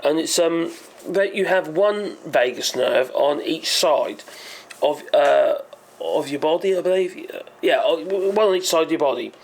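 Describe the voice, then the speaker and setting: Brief: hoarse male channel host, gravelly and slightly high, rambling and chatty. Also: expressive, a little slow, low-quality recording. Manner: rambling, hesitant, self-correcting. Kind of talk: casual explainer monologue.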